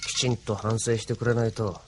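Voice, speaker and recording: An actor playing a gruff, sardonic but loyal male resistance fighter with coarse speech in an anime audio drama. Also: fairly low pitch, little pitch movement, a little fast, background noise, close to an everyday voice. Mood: stern.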